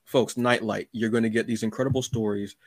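A man's voice: fairly low pitch, steady tone, brisk, dry recording. A man hosting a podcast, talking in a casual, effusive, rambling way and often repeating his words. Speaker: podcast host and interviewer. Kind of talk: casual, chatty interview.

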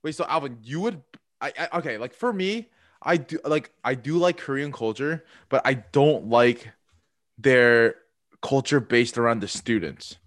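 A man's voice: mid-range voice, measured pace, no echo. Young man questioning friends, dry sound. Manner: questioning, animated with stammers. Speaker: friend in a group chat. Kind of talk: casual chat.

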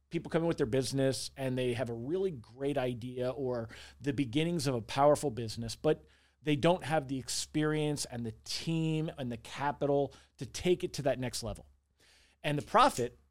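A man in his forties speaking candidly as an interview guest, talkative and self-reflective, often repeating words as he thinks. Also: mid-range voice. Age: 40s. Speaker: interview guest, small business owner.

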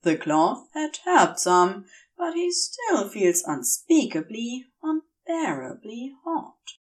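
A woman's voice: on the high side, big pitch swings, slow, distant mic. A woman narrating a scripted piece of fiction as an audiobook-style reader. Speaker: podfic narrator.

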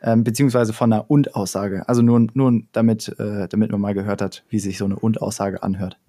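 A young man with a fairly low, mellow voice talks through an idea in casual conversation. He explains step by step, stopping often for fillers and starting sentences over. The delivery stays relaxed and unhurried.

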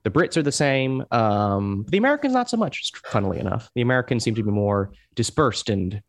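A man in his thirties from Hong Kong, a guest in a casual talk, speaking haltingly with many restarts and fillers. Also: medium pitch, big pitch swings. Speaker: guest, chair of an overseas Democrats group. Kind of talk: casual conversation.

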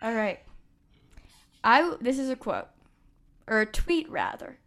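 A woman's voice: fairly high pitch, huge pitch swings, unhurried, a bit bright. A woman hosting a casual podcast, playfully running a guessing game and teasing the players. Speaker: podcast host.

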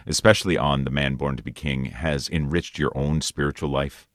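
A man's voice: rumbling low, fairly even intonation, moderate pace, no echo, clean studio sound. A man hosting a conversational radio interview, speaking in an effusive, chatty way. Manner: effusive, chuckles while talking. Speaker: radio show host.

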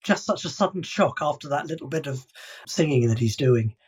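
Husky man discussing an old script. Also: fairly high pitch, lively intonation, a little fast, darkish tone. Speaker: podcast co-host. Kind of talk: casual discussion, reading script excerpts.

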